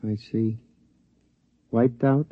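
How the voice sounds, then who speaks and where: A man's voice: mid-range voice, steady tone, very slow, no echo. An actor voicing a cocky, pleasure-loving male mouse with brash, slangy talk in a 1950s radio drama. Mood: impatient, eager. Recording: dull 1950s radio recording.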